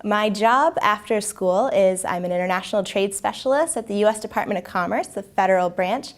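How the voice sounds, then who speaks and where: A woman's voice: mid-range voice, a little fast. A young woman from the United States in her twenties, an MBA student talking fluently and upbeat in a testimonial interview.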